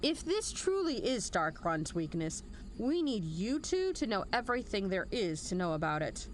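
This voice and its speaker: A woman's voice: medium pitch, sing-song, moderate pace, a little hiss or hum, natural voice. A woman playing a stern military general in an audio drama, formal and authoritative with a dry, wry edge. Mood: commanding, measured. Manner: formal, authoritative briefing delivery. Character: stern, commanding female military general, measured and dryly wry. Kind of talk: scripted audio drama acting.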